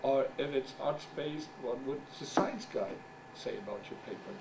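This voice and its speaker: A middle-aged man whose first language is German gives a conference talk as a journal editor. He speaks English haltingly, searches for words, and makes wry asides. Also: medium pitch, gravelly. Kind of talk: conference presentation. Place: conference room. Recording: distant mic with background noise.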